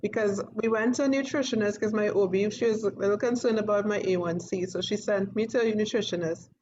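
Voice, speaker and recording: A woman's voice: medium pitch, fast, darkish tone, a little hiss or hum. A woman taking part in an online workshop, sharing her experience in a chatty, casual way. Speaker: workshop participant. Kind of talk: casual chat. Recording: dull lo-fi call audio.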